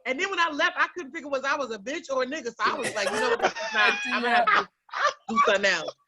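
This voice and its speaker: A woman's voice: sits high, very fast, very noisy. A woman, telling brash, profane comic stories on a comedy panel. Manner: brash, profane, animated storyteller. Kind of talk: casual comedic storytelling. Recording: lo-fi recording.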